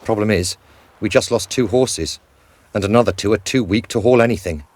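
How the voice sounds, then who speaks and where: Casting: male actor as sardonic travelling trouper, lowish voice, blunt, practical, wry game dialogue. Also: brisk, darkish tone, dry recording, lightly acted. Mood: wry, grumbling. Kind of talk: video game voice acting.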